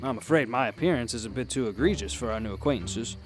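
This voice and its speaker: A man acting a genteel, unflappable gentleman adventurer. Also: mid-range voice, animated, quick pace, soft background music, natural voice. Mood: coaxing, wry. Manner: polite, eloquent, formal phrasing. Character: Griffin, genteel, unflappable, wryly witty male adventurer. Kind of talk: radio drama acting.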